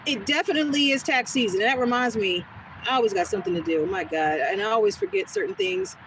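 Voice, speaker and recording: A woman with a smooth, soothing voice, chatting casually with viewers during a live stream and answering their comments as she goes. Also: on the high side, quick pace. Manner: relaxed, rambling, talks back to chat comments. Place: outdoors on a quiet street. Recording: noisy outdoor phone recording.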